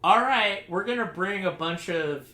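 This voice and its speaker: A man's voice: sits high, expressive, measured pace, sharp tone. A man hosting a casual group podcast, rambling and sarcastic, laughing often as he talks. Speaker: podcast host. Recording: echoey room, faint noise.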